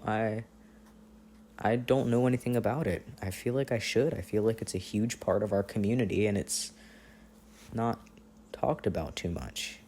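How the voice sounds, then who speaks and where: A man playing an affectionate, playful boyfriend on a long-distance phone call, in intimate, casual ASMR roleplay with gentle teasing and hesitant pauses. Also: mid-range voice, a little hiss or hum, lightly acted. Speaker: audio roleplay performer.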